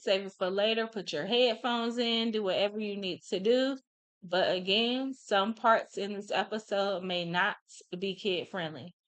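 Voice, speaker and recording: Young woman, podcast host, congested from a cold, bright, smooth voice. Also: medium pitch. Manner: chatty, relaxed, many "um"s and "whatever, whatever". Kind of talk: casual solo podcast talk.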